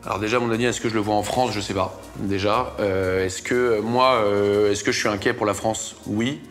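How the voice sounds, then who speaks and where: A young man in his twenties speaks in a measured, hesitant way while answering interview questions. His voice sits somewhat low, and light music plays underneath. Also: steady tone, a bit bright. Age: 20s. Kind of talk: documentary interview testimony.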